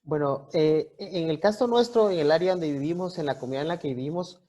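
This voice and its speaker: Male pastor as interview guest, 40s, Guatemalan accent, relaxed dark tone, halting delivery, muffled low-fidelity sound. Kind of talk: conversational interview, explanatory.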